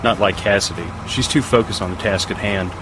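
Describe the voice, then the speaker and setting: An actor playing a stern, gruff army major who speaks in curt orders in an audio drama. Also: male voice, lowish voice, fairly quick, background noise, natural voice. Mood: businesslike. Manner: barking orders, curt military speech. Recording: music behind the voice.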